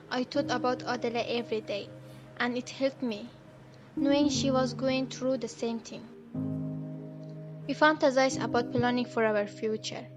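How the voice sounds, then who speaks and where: A young woman who is a refugee, sincerely telling how she lived through the lockdown. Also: on the high side. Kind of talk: personal testimony. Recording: dull lo-fi voice over music.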